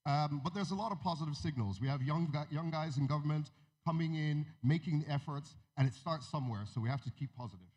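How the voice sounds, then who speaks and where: A man's voice: a little high, fast talker, a little mellow. A man giving a conversational conference keynote, speaking Nigerian English with an American tinge, asking the audience questions and joking in asides. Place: large conference hall. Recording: long hall reverb.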